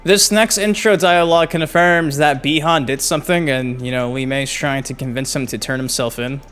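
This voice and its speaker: A man hosting a gaming channel, giving excited casual commentary and swearing freely. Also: mid-range voice, normal speed, clean tone, faint music behind. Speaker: gaming YouTube host. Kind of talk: casual commentary on game clips.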